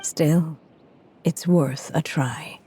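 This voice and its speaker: A woman's voice: deep voice, fairly even intonation, very slow, dark tone, slight background noise. An actress playing a frail but resolute elderly woman storyteller in a fantasy game, speaking formally and solemnly. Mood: weary, determined. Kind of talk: scripted fantasy game dialogue.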